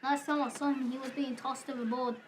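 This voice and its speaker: A woman's voice: high voice, little pitch movement, brisk, lightly acted. A woman playing a cagey male sailor in an amateur audio drama. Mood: evasive. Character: cagey male sailor with old salt talk. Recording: noisy background with clicks, bird honks.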